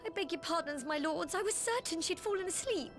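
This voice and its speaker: Panicky servant, super high pitched, apologizing. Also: female voice, lively intonation, fairly quick. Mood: alarmed. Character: anxious female servant, apologetic and flustered. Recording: game dialogue over faint music.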